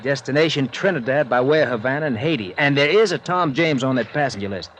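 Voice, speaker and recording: An actor playing a helpful police detective with a plain, matter-of-fact manner, in 1950s radio drama style. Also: male voice, mid-range voice, lively intonation, fast talker, fairly bright tone, a little hiss or hum, lightly acted.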